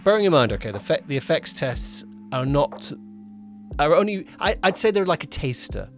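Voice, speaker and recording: A man's voice: a little high, lively intonation, moderate pace. Man chatting casually over background music. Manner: rambling, self-correcting. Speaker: podcast co-host and producer. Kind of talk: casual chat about a production.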